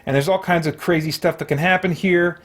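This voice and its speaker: A man's voice: a little high, fairly even intonation, a little fast. A man hosting a solo tabletop game, talking casually and thinking aloud in a rambling way as he plays. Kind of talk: casual game play-through commentary.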